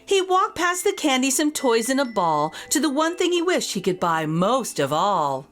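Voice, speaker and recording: A woman reading a rhyming children's story aloud as an expressive storyteller. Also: high-pitched, normal speed. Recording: voice over background music. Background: music.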